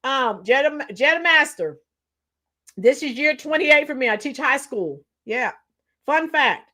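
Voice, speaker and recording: A middle-aged woman with a hoarse, tired voice chatting casually with livestream viewers, reading out comments and singing bits of songs. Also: high-pitched, expressive, measured pace, bright, forceful tone. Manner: chatty, reads out comments and sings along to songs. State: hoarse, losing her voice. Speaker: livestream host.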